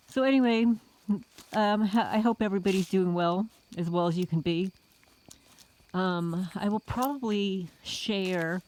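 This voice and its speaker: A woman's voice: lowish voice, a little mellow. A middle-aged woman from the Bronx talking to viewers in a casual, indignant vlog monologue full of rhetorical questions. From the Bronx, New York. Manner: indignant, rhetorical, addresses listeners as folks. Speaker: homesteading vlogger.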